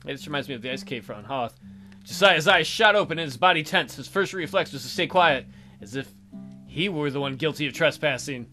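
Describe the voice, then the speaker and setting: A man's voice: fairly high pitch, animated, brisk, pushed and bright, faint music behind. A man hosting a let's play, reading game text aloud expressively and adding casual jokey commentary.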